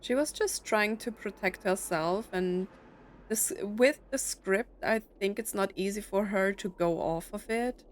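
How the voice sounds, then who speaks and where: Unhurried woman doing game commentary. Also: medium pitch, slight background noise. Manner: rambling, reflective thinking aloud. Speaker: streamer playing a story game. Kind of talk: casual let's play commentary.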